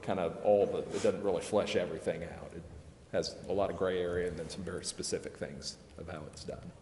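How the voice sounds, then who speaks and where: A man explaining procedure at a public meeting in an informal, halting, hedging way, like an adviser to a council. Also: sits low, fairly even intonation, a little mellow. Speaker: legal adviser to a city council. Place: indoor council chamber. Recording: echoey, reverberant council chamber with background noise.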